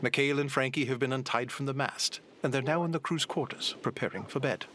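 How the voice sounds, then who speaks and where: A man's voice: medium pitch, brisk, bright, forceful tone, slight background noise. A man giving scripted narration as the storyteller of an audio drama.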